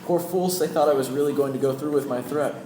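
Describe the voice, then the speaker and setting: A man's voice: mid-range voice, fast talker, warm tone, natural voice. A young man playing a wry, self-assured Yankee who recounts his own adventure in an amateur radio play. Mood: dramatic, smug. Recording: echoey room with background noise.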